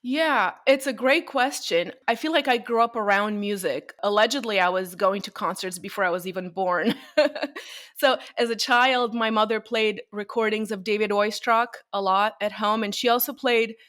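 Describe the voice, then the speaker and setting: A woman's voice: mid-range voice, moderate pace. A Bulgarian-born woman speaks as a guest on an interview show. She tells stories about her career in a friendly, conversational way. Her talk is mixed with frequent small laughs. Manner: warm storytelling, laughs often. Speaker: violinist guest.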